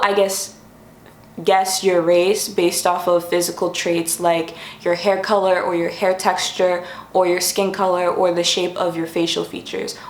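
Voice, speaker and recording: A woman's voice: on the low side, reverberant. Chatty young woman vlogging from her room. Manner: chatty, friendly, thinks aloud and corrects herself. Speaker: YouTube host. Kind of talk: casual explainer vlog. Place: her room, sitting on the floor.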